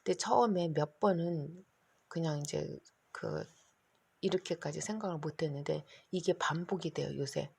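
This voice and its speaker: A middle-aged woman vlogging to her phone in a rambling, anxious monologue, her voice hoarse and her throat catching. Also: deep voice, normal speed, darkish tone. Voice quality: gravelly, husky. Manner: rambling, anxious, talks in long run-on stretches. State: hoarse, keeps clearing throat. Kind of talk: casual monologue to camera.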